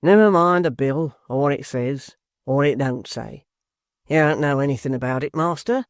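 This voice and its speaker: A man reading a storybook aloud, voicing a blunt, rough-spoken young brawler. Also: mid-range voice, normal speed, no room sound, clean studio sound, close to an everyday voice.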